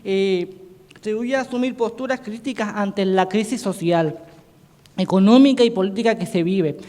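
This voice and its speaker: Man, native Portuguese speaker, high voice, halting academic talk, echoing conference hall. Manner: halting, frequent restarts and self-corrections. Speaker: conference panelist. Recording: reverberant hall sound.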